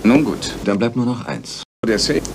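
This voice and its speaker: Ein Sprecher, der in einem Hörspiel einen ruhigen, trocken spottenden Detektiv spielt. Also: male voice, mid-range voice, normal speed, vocal fry, very noisy. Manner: calm, dry and teasing. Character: cool, wry male detective, middle-aged. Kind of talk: German radio drama.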